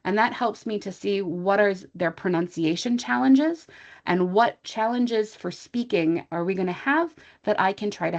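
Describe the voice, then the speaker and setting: A woman's voice: on the low side, creaky, dull audio. An American woman, an English teacher, giving an informal online training talk with a chatty, thinking-aloud manner and asides to her listeners. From USA. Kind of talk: conversational online presentation.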